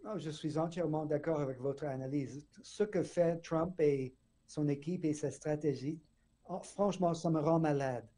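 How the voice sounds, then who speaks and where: Earnest professor with a very dark voice. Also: male voice, on the high side, moderate pace. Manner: earnest, restarts words. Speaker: political science professor. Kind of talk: radio interview guest.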